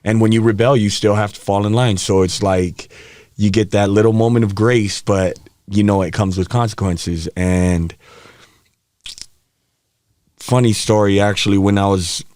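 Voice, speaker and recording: A man around thirty who grew up in Hawaii, a podcast guest telling stories from his life in a relaxed way, laughing often and getting animated as the story builds. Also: on the low side, normal speed, no room sound. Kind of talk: candid storytelling interview. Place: podcast studio. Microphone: studio mic.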